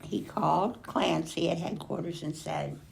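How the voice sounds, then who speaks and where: Older woman, storybook read-aloud, gravelly creak, unhurried pace, expressive asides on the pictures. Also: on the low side. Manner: expressive, pausing to remark on the pictures. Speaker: storybook reader.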